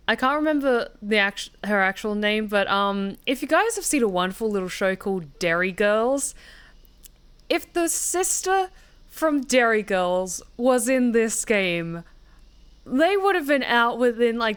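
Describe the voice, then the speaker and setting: A woman's voice: a little high, fairly bright tone, smooth. A woman streaming a game, chatting casually and rambling with fillers and swearing. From Australia. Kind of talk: casual let's-play commentary. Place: at home, in the UK.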